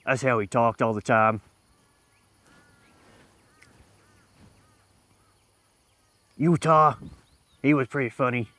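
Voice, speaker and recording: A man playing a callous Old West outlaw who tells stories in a rambling, deadpan way, his voice dry. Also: medium pitch, normal speed, no echo, close to an everyday voice. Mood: offhand. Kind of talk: roleplay in a western game.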